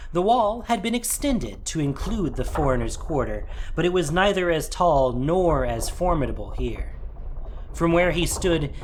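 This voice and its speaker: A man's voice: on the high side, a little hiss or hum. A man reading a scripted, dramatic story as its narrator. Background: music.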